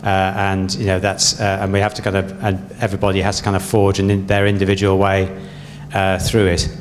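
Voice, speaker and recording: Man, very deep voice, monotone, halting, echoey hall. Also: faint music behind. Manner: hesitant, many fillers. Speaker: parliamentary candidate. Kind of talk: debate answer at a hustings. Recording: echoey hall with faint hum.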